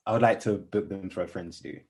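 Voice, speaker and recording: A man playing a kindly, polite wedding guest who is easily embarrassed, in naturalistic radio play dialogue with a hesitant, conversational manner. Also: low-pitched.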